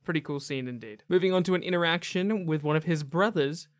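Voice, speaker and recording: Highish-voiced enthusiastic young man. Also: a little fast, background noise. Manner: chatty, emphatic. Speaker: gaming video host. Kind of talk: casual enthusiastic top-ten commentary.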